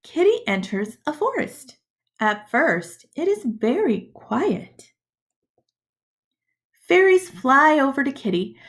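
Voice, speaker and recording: Woman, schoolteacher, children's read-aloud, very animated intonation, unhurried pace, expressive. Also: high-pitched. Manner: deliberate, expressive, addressing young children. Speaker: schoolteacher reading to pupils. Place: indoors, webcam screen recording.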